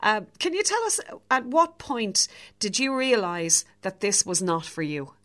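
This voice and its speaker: A woman's voice: medium pitch, big pitch swings, measured pace, fairly bright tone. A woman hosting a radio interview show, bubbly and enthusiastic, often laughing. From Ireland. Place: radio studio.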